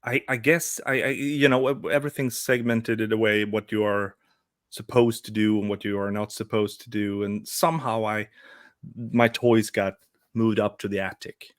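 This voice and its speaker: Man, 40s, low voice, dry close sound, halting casual chat. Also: little pitch movement, dry recording. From Sweden. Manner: hesitant, many restarts. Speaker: guest, toy customizer. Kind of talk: casual live-stream chat.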